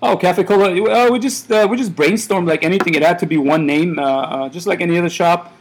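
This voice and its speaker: A man's voice: sits high, brisk, slight background noise, poor audio. A man in his thirties, born in Iran, who runs a café, chatting casually in an interview and rambling with lots of fillers and restarts. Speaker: café owner.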